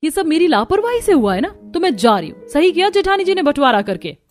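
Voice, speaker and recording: A woman's voice: high voice, big pitch swings, brisk, soft background music. A woman playing a spoiled, proud young daughter-in-law in a cartoon, haughty and indignant.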